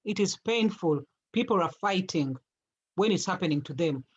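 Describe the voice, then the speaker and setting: A woman's voice: on the low side, lively intonation, normal speed, slightly rough, muffled recording. A woman with a Ugandan accent speaking as a guest in a panel discussion, explaining point by point with emphasis. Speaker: guest speaker, charity founder and campaigner.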